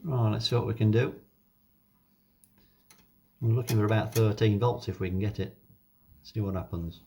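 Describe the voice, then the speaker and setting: Low-voiced Englishman thinking aloud. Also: fairly even intonation, normal speed, mellow, a little hiss or hum. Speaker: hobbyist video presenter. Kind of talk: casual hands-on demonstration narration.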